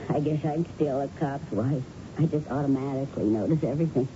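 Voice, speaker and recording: Low-key, thoughtful policeman's widow. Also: fairly quick. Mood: pensive, searching. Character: observant, quietly composed widow of a policeman. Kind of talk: radio drama acting. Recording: dull, noisy old radio recording.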